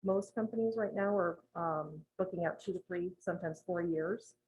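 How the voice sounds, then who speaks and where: A woman advising a town board on budgeting, explaining rules in a measured, methodical way with frequent ums, in a town meeting room. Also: fairly low pitch, a little mellow, a little hiss or hum, rough recording. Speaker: municipal finance advisor presenting to a town board.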